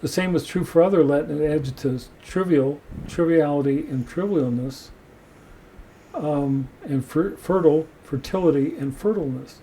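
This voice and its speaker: Mellow-voiced man reading from a book. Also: fairly high pitch, slight background noise, low-quality recording. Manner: measured, reads passages aloud. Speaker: podcast host. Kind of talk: reading aloud from a book and discussing it.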